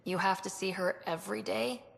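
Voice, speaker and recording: A woman's voice: mid-range voice, brisk, creaky. An actress playing a young, supportive space explorer in a video game, casual and curious, with reverb and music around her. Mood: gentle, interested. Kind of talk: video game cutscene acting. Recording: reverberant hall, music under voice.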